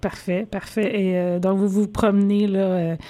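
A woman hosting a radio interview in a conversational, somewhat halting way. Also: mid-range voice, level tone, mellow.

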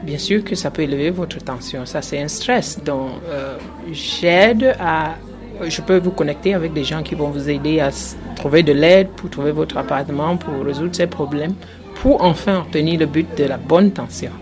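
A woman nurse answers a reporter's questions about health care at an open house. She explains patiently, pausing on fillers as she searches for words. Music plays in the background. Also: low-pitched. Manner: explanatory, hesitant with fillers, laughs easily. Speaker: nurse at a community health centre. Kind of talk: street interview answers. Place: indoor open-house event.

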